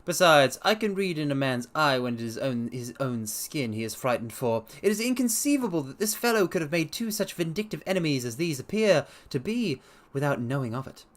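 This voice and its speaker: A man voicing a self-assured gentleman detective in a storybook reading, brisk, precise and emphatic as he explains himself. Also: on the high side, big pitch swings. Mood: analytical, confident.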